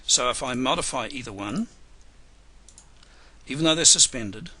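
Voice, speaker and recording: A man giving a live software tutorial webinar, hoarse and losing his voice, talking unhurriedly with many fillers and restarts. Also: mid-range voice, animated, normal speed. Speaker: software trainer.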